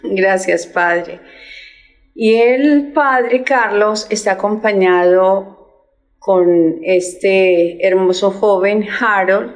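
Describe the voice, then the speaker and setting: Slow-talking effusive woman host. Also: mid-range voice, rough recording. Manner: effusive, admiring, halting. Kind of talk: religious talk show interview.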